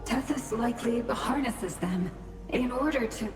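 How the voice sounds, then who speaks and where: A woman's voice: a little high, animated, measured pace. Game role: trapped, sorrowful shadow woman, earnest and solemn, echoing voice over prominent music. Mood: reflective. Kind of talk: video game voice acting. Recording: roomy echo, music behind.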